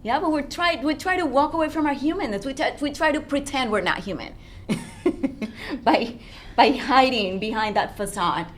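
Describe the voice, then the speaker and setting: A woman with a non-native English accent giving conversational coaching advice as a guest, earnestly posing questions as she goes. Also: moderate pace. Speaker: relationship coach, interview guest. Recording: faint background noise, low rumble.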